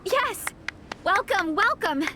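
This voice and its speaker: A woman's voice: extremely high pitch, expressive, slow pace, background noise, exaggerated voice. A woman playing a cheerful, earnest young female fan club captain in a video game, enthusiastic and encouraging. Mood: welcoming, eager. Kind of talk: video game character acting.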